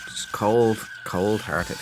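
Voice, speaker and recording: Low male voice, casual let's play commentary, muttering, playful repetition, loud game ambience behind. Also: steady tone, extremely slow, background noise. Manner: muttering to himself, playful repeating of phrases. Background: video game sound.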